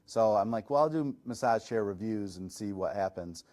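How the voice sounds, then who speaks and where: A middle-aged man, a chiropractor, answering interview questions in a relaxed, self-deprecating, chatty way. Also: fairly low pitch, normal speed. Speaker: chiropractor and massage chair reviewer. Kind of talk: casual podcast interview answers.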